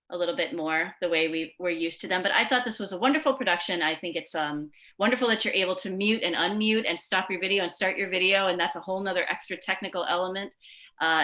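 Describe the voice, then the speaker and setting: A woman's voice: fairly low pitch, pushed and bright. A woman hosting a library event on a video call, giving informal closing announcements. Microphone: video call microphone.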